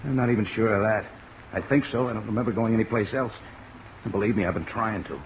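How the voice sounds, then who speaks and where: Man playing a low-voiced, bewildered jailed seaman. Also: fast talker, close to an everyday voice, rough recording. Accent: American. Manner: plain-spoken, hesitant. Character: bewildered, wary American seaman jailed for murder. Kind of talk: 1950s radio drama acting. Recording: old radio recording with rain noise. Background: steady rain.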